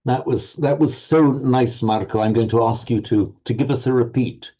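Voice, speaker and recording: Halting, encouraging man teaching online. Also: mid-range voice, steady tone, warm tone, breathy edge, lo-fi sound. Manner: halting, restarts words, encouraging. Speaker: Sanskrit teacher. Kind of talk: online group lesson.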